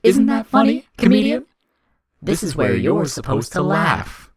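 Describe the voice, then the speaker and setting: A man voicing a cold, mocking, demanding child of darkness in an audio story, speaking in formal, taunting, deliberate phrases. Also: high-pitched, huge pitch swings, crowd noise, voice pushed up, full character voice. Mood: mocking, cruel. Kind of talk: dramatic audio fiction character reading.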